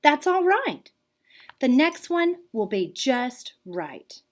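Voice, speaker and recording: Woman reading as an easygoing groovy cartoon cat, lively-pitched. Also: slow, heavily acted. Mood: upbeat, unbothered.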